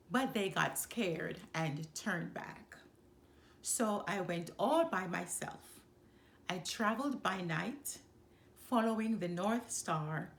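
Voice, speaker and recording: Female children's storybook reader, lively and varied pitch, measured dramatic phrasing, roomy sound. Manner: deliberate, dramatic phrasing with pauses. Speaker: storytime host reading a children's book aloud. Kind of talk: expressive first-person children's storybook narration. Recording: roomy, echoing room.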